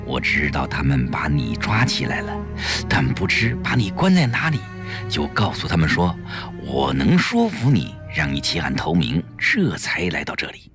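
A man's voice: very high voice, steady tone, a little slow, pauses often, bright, forceful tone, soft background music, pitched above the performer's own voice, full character voice. A man voicing a spirited, crafty young wife in an audio storybook, with an emphatic, theatrical manner. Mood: hushed confiding.

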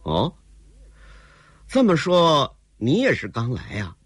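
A man playing a proud hot-tempered young gentleman. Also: a little high, huge pitch swings, takes it slowly, husky, no echo, studio quality, pitched above the performer's own voice, full character voice. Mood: brusque. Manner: brusque, scoffing.

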